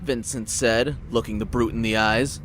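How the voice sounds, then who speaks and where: A man reads scripted fiction as a narrator. His voice sits at a middle pitch with a dramatic storytelling manner. A faint noise runs behind him. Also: steady tone, normal speed, fairly bright tone.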